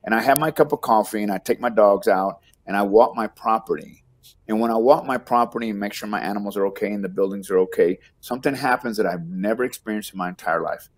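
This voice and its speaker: Texan man, low voice, video call. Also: fast. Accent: Texas. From Texas, United States. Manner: warm, rambling, repeats words when starting sentences. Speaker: guest. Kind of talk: casual interview chat. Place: home room, on video call.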